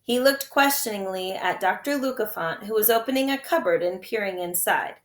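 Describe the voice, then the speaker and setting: Female teacher narrating children's fiction, expressive read-aloud, mid-pitched, noticeably roomy recording. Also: moderate pace. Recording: echoey room.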